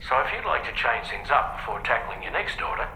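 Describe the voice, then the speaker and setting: An actor playing a friendly, matter-of-fact ship captain in a video game, giving a courteous, informative briefing. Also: male voice, sing-song, brisk, pushed and bright. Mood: helpful. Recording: echoey, faint rumble behind.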